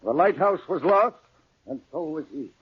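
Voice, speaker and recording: High, husky old-radio sea captain. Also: male voice, lively intonation, moderate pace. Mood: earnest, defensive. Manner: formal nautical speech with "aye". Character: earnest, defensive sea captain with superstitious streak. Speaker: actor in a radio drama. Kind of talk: old-time radio drama acting. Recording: muffled old-time radio recording.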